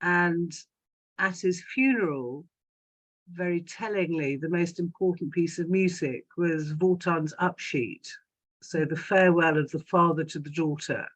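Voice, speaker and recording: A woman speaking as a panel guest, recalling personal memories in a measured, articulate way. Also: on the low side, poor audio. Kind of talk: reflective personal recollection.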